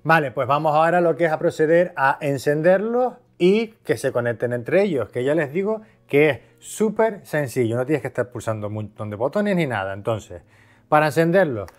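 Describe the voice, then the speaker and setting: A man's voice: fairly high pitch, expressive, a little fast, a little mellow, soft background music. A man from Spain giving a casual, conversational product review, explaining things by asking and answering his own questions. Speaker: video host, product reviewer.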